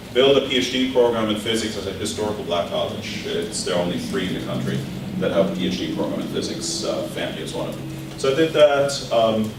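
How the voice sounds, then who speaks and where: A middle-aged man with a German accent gives an informal workshop lecture, talking conversationally with many fillers and restarts. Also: sits low. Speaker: physics professor teaching a workshop. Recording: distant mic in an echoey room with background noise.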